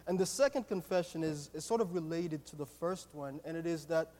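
A nervous man with a Haitian accent, a native Haitian Creole speaker, giving a personal testimony sermon in an earnest, halting way, repeating words as he goes. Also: a little high, mellow. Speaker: guest preacher at a church. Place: church sanctuary, speaking to a congregation. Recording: echoey hall with long reverb.

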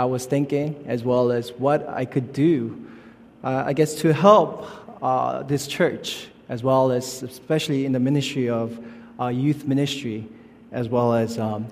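A man in his thirties, from South Korea, preaching as a youth pastor in a halting, informal, friendly way. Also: mid-range voice. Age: 30s. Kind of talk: sermon. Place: church sanctuary. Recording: long church reverb.

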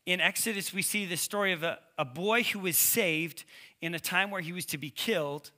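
Male preacher, bright forceful voice, higher-pitched, lisp, informal slangy storytelling, conversational sermon. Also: normal speed. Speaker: preacher, youth ministry leader.

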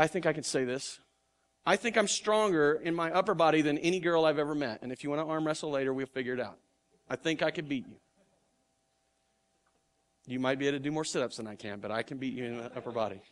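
A man's voice: sits high, bright, forceful tone. A middle-aged male pastor preaching a sermon in an earnest, conversational way, often restarting his words. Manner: earnest, conversational preaching with repeated word starts.

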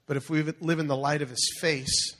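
A man preaching a sermon in an animated, call-and-response manner, asking the congregation to repeat phrases after him. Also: on the high side, moderate pace. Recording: long hall reverb.

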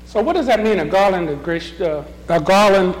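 A man's voice: high-pitched, expressive, moderate pace. A middle-aged man leading a group Bible discussion, asking questions, restarting his sentences and often chuckling. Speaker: Bible study leader. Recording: reverberant meeting room.

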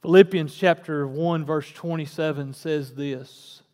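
A forty-something man preaches in a folksy, conversational way, now and then pushing into fervent emphasis. His voice sits high for a man. A long reverb trails his words through the church. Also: steady tone, a little slow, darkish tone. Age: 40s. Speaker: pastor. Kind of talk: casual sermon. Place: church sanctuary.

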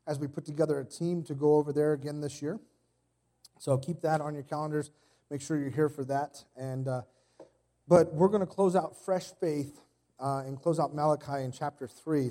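A man in his thirties preaching a casual sermon while sick with a cold, his voice deeper than usual, with a slight cough and many fillers and restarts. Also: mellow. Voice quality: extra deep voice today. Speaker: pastor. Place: church.

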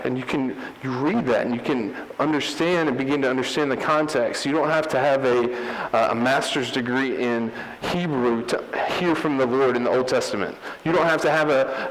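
A man delivers a teaching sermon in an earnest, explanatory way, sometimes restarting a phrase mid-thought. His voice sits a little high for a man. A faint long reverb and light background noise hang around him. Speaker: pastor.

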